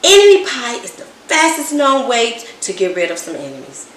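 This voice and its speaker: A woman voicing a calm, secretive, knowing father in a children's story, speaking in a measured, confiding way. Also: a little high. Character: calm, secretive, knowing dad of a young boy. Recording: roomy echo, distant mic, background noise.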